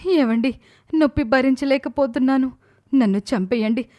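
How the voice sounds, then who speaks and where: A woman's voice: high-pitched, moderate pace, a little mellow, lightly acted. A woman voicing a suffering wife in a storybook tale, crying in pain and pleading with her husband. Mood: agonised pleading. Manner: wailing, pleading.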